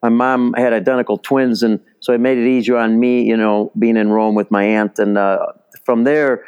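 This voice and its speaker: Smooth-voiced man telling stories. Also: mid-range voice, steady tone, normal speed, a little mellow, lo-fi sound. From Chicago, US. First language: Italian. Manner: rambling, many fillers and restarts. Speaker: baseball instructor, podcast guest. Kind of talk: casual interview storytelling.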